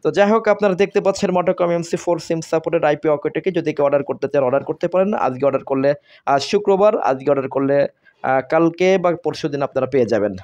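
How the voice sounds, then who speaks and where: A man with a Bangladeshi accent gives a product demonstration as a seller, speaking in halting, repetitive phrases. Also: on the high side, a little mellow, highs cut off. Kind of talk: product demonstration sales pitch.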